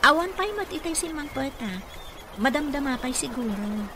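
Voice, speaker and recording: A woman's voice: high-pitched, animated, moderate pace, noisy background. A woman acting a teasing, efficient office colleague in an Ilocano radio comedy drama, fairly matter-of-fact.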